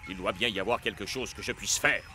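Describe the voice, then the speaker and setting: A stern cartoon coach, lowish voice, firm and gruff, music behind. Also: male voice, quick pace, pushed and bright. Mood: frustrated. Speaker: football coach. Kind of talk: French cartoon dub. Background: cartoon score.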